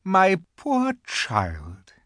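An imperious witch queen from a storybook, acted out by a man, haughty and cold. The pitch is raised and swoops widely, stretching words with menace and sly sweetness. The sound is dry and close. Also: huge pitch swings, pushed and bright, no echo, exaggerated voice. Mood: falsely sweet. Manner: haughty, commanding, drawn-out emphatic words. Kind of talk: audiobook character voice.

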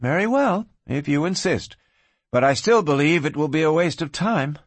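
A man's voice: a little high, lively intonation, moderate pace, no echo, pitched above the performer's own voice. A man voicing a strong-minded, defiant young woman in a Western storybook. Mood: worried, reluctant. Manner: firm, indignant, cautioning. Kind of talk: dramatised audiobook reading.

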